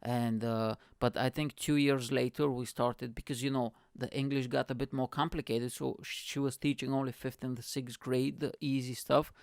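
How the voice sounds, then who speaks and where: A man, hosting a podcast and telling casual stories in an animated way, laughing often and acting out the people he describes. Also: mid-range voice, normal speed, creaky, few pauses, smooth, no echo, clean studio sound. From Bulgaria. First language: Bulgarian. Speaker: podcast host. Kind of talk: casual storytelling monologue.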